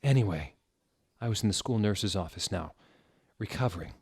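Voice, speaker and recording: Low-voiced man giving a theatrical comic reading. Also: lively intonation, normal speed, a little mellow, breathy edge, no room sound, studio quality. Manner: theatrical, sardonic, breaking off for asides. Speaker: reader. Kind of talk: mock-dramatic comedic reading of fan fiction.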